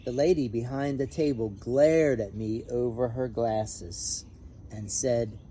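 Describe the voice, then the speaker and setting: A man reading a children's picture book aloud in an expressive, animated, emphatic way. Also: mid-range voice, slow, a little hiss or hum. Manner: animated, emphatic on repeated refrain. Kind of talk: expressive storybook read-aloud with brief asides.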